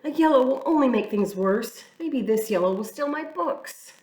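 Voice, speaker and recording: A woman's voice: sits high, expressive, moderate pace, a little mellow. A woman voicing a timid but kind young male cyclist in a storybook read-aloud. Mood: worried. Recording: echoey room, mic far off.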